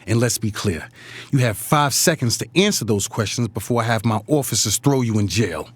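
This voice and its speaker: Man acting as animated, defensive city mayor. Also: on the low side, moderate pace, husky, no room sound. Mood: stern, threatening. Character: authoritative, defensive male city mayor with secrets. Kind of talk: audio drama dialogue. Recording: music playing behind the voice.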